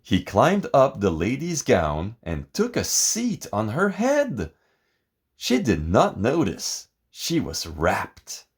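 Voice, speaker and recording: Male storybook reader, lower-pitched, dramatic and expressive, with sweeping intonation. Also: slightly rough. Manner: theatrical, expressive, deliberate. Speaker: picture book reader. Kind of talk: dramatic scripted storybook narration.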